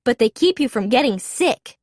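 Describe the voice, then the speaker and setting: Woman reading as a kindly grouper schoolteacher, animated delivery. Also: on the high side, moderate pace, no echo, studio quality. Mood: calm, explaining. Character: kindly male grouper schoolteacher, calm and instructive.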